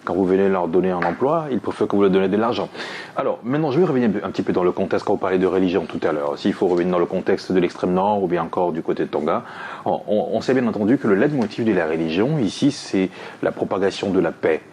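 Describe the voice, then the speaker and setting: Male radio host, rapid delivery, faint noise behind. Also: low voice, expressive, a little mellow, lo-fi sound. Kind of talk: radio talk and presenting.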